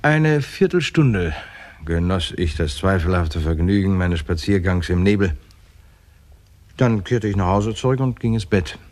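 An actor as a composed narrator in a 1960s radio crime drama, measured and matter-of-fact. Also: male voice, low voice, a little mellow.

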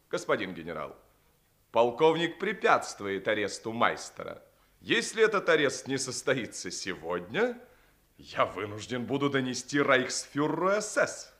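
Shrill, fanatical SS officer. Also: male voice, a bit bright. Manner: declamatory, insistent, formal. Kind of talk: 1950s Soviet radio theatre acting.

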